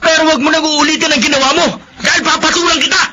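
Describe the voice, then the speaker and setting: An actor playing an insolent, hot-tempered young drug addict in a Filipino radio drama. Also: male voice, extremely high pitch, steady tone, fast, highs cut off, heavy background noise. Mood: angry. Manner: insolent, belligerent.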